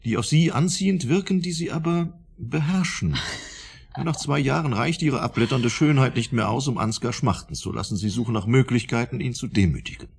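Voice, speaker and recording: Husky, pompous professor. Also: male voice, medium pitch, moderate pace. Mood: insistent, needling. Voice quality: husky, gravelly. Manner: pompous, lecturing, insistent. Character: smug, probing male professor of psychology, theorizing about everyone. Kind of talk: German radio drama acting.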